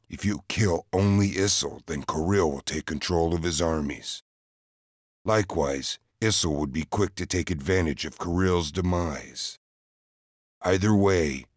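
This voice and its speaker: A man playing a serene, half-mad monk and ninja in a fantasy game, measured, solemn and portentous. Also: sits low, no echo, studio quality.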